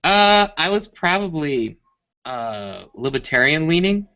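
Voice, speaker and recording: Bright-voiced man, dull call audio. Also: a little high, very animated, slow. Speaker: participant in an online hangout. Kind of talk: casual group chat. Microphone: online voice call.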